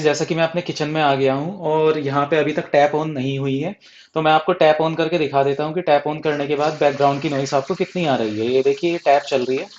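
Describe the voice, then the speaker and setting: A man's voice: a little high, lo-fi sound, a little hiss or hum. An Indian man giving a casual, explanatory product review, mixing English phrases into his speech. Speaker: gadget reviewer.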